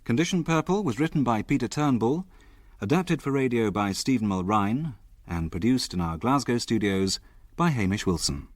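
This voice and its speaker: A man's voice: mid-range voice, animated, no echo. Formal male radio announcer, dry studio sound. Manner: measured, formal. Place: broadcast studio.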